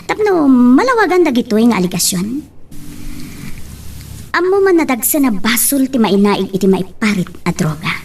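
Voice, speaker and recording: A woman acting an earnest, pressing woman mayor in an Ilocano radio love drama. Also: fairly high pitch. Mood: pleading, grave. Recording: somewhat distant mic, faint noise.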